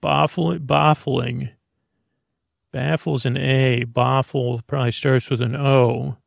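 Creaky-voiced man rambling soothingly. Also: mid-range voice, fairly even intonation, dry recording, clean studio sound. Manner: soothing, meandering, halting with frequent stammers and self-interruptions. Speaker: podcast host. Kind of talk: rambling bedtime sleep podcast monologue.

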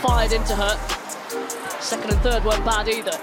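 A football commentator calling a live match, breaking into excited yells at the goals. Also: medium pitch, moderate pace. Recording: broadcast clip over roaring stadium crowd and music.

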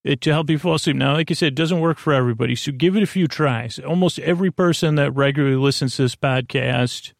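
A man's voice: a little high, fast, creaky, hardly any pauses, dry recording, clean studio sound. A man hosting a bedtime podcast in a rambling monologue, hesitant and meandering, often losing his thread and doubling back. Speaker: podcast host.

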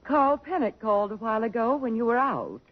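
A woman's voice: a little high, very animated, brisk, breathy edge. A woman playing a flustered, fussy middle-aged mother in a 1950s radio drama. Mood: fretful. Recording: muffled old radio broadcast.

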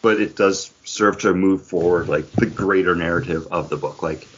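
A man's voice: deep voice, moderate pace, husky, highs cut off. A man co-hosting a podcast, giving a review in casual, earnest, explanatory talk. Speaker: podcast co-host reviewing comics.

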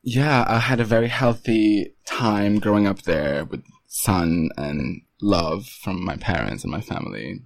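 Hesitant man from Portugal, low voice. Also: expressive, vocal fry, dry recording. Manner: hesitant, many pauses and restarts. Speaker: guest photographer. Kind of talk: casual interview talk.

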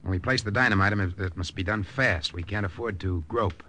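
Low, terse American secret agent. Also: male voice, fairly quick, pushed and bright. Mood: tense, terse. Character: hardboiled American secret agent narrating his mission, terse. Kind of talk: dramatic first-person narration, old-time radio acting.

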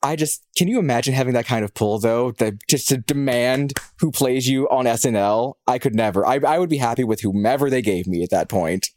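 A man's voice: medium pitch, fairly quick, hardly any pauses, darkish tone, a little hiss or hum. A man co-hosting, moving between a news update and casual banter in a wry, jokey, colloquial way. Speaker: co-host.

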